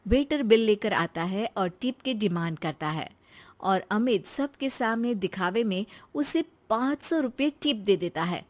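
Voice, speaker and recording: A woman's voice: medium pitch, animated, measured pace. A woman storyteller reading scripted narration for a cartoon tale.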